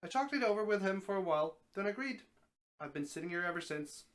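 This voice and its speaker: A man's voice: high-pitched, lively intonation, fast, fairly bright tone. A man voicing an old, easygoing talking snake in a dramatic story reading, folksy and matter-of-fact. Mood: matter-of-fact, reminiscing.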